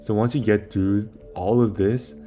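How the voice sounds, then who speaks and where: Young adult man, slightly low voice, casual gaming guide commentary, informal and rambling, music behind him. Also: fairly even intonation, measured pace, dark tone. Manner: informal, rambling, talks through steps as playing. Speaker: video maker giving game guide. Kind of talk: casual game walkthrough commentary.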